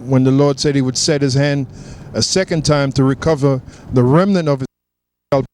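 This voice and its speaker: A man preaching in an outdoor discussion, emphatic and quick to affirm with agreement. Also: medium pitch, steady tone, darkish tone, a little hiss or hum. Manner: emphatic, keeps affirming with "that's right". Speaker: street preacher.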